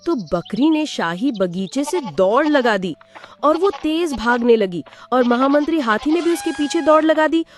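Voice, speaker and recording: A woman narrating a moral fable for a Hindi cartoon in a plain, steady storytelling way. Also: high-pitched, unhurried, slight background noise.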